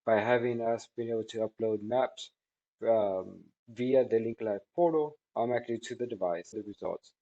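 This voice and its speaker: A man in his thirties giving a spoken product testimonial in a halting, measured way, with drawn-out words. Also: fairly low pitch, dark tone, muffled recording. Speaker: technology product reviewer. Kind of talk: spoken testimonial answering questions. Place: small indoor office room by a large window.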